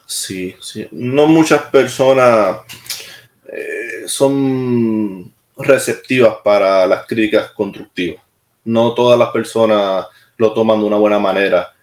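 A man's voice: fairly low pitch, slow pace, a little mellow. A man from Puerto Rico with a Puerto Rican Spanish accent, talking as an interview guest in a direct, plain-spoken way with hesitant restarts. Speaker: military musician, interview guest. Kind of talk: casual interview talk. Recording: echoey room.

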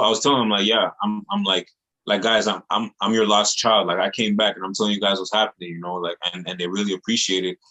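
Male music artist, very low voice, earnest slangy interview talk. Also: pushed and bright, poor audio. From Montreal, Canada. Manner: earnest, repetitive phrasing with casual slang. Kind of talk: casual interview chat.

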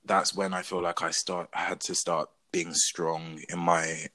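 A young Englishman with a low, deep voice and a bright, forceful edge to it, speaking earnestly in a casual conversation. He talks from the heart and stops and restarts mid-thought as he works through his own experiences. The sound is close and dry. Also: flat delivery, a little slow, no echo. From England. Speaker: discussion participant. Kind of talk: casual discussion.

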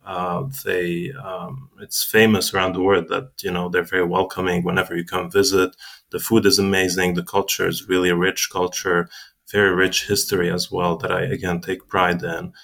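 A man, a physician guest on a panel, giving measured answers and repeating phrases for emphasis. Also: low-pitched, monotone, fairly bright tone. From Lebanon.

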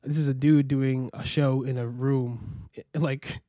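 A young American man telling his story as a podcast guest, relaxed and rambling with many fillers and restarts. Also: medium pitch, unhurried, dry recording, clean studio sound. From United States. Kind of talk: casual interview chat.

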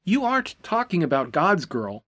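A bewildered, easygoing young man, voiced by a woman reading an audiobook, defensive and puzzled. His delivery swings up and down with lively pitch, and the sound is dry and close to the mic. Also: normal speed, no echo. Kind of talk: audiobook character voice.

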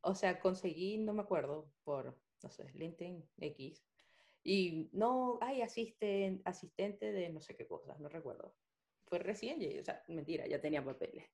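A woman's voice: lowish voice, moderate pace, gravelly. A young adult woman telling stories in a casual chat, full of fillers and restarts. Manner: animated storytelling, many fillers.

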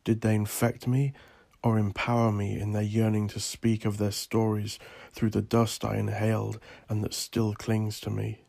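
A man narrates from a script with solemn, measured care, as an author hosting his podcast. His voice is low and fairly even in pitch. The sound is close and dry. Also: creaky, no room sound. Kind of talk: scripted dramatic gothic narration and podcast sign-off.

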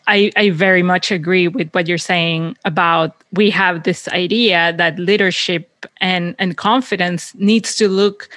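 A woman hosting an interview, warmly engaged and affirming, searching for words as she frames a question. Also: on the low side, fairly even intonation, a little slow, hardly any pauses, no echo. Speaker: interviewer, host. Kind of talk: conversational interview.

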